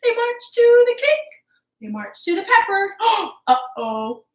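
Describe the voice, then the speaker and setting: A woman hosting a storytime for young children, talking in an animated, encouraging, playfully exaggerated way, recorded in a living room. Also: very high-pitched, slow pace. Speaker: children's storytime host at a public library. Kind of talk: children's storytime, rhymes and counting. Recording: roomy, echoing home recording.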